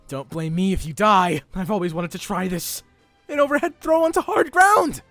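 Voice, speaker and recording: A man's voice: medium pitch, lively intonation, normal speed, no echo, soft background music, close to an everyday voice. A man voicing a cocky, hot-headed young shut-in in an anime fan dub, with a brash, taunting, theatrical delivery. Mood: furious, boastful.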